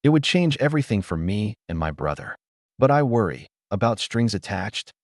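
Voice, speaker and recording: A man reading the lines of a reserved young woman who speaks quietly, politely and guardedly. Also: fairly low pitch, sing-song, normal speed, no echo, studio quality.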